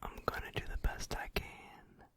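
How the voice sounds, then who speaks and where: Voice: moderate pace, fairly bright tone, lightly acted. A performer whispering the part of a cruel, gambling male boogeyman who gloats in singsong. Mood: gloating. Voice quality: whispered, unvoiced. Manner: mocking, singsong. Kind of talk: whispered song cover, ASMR.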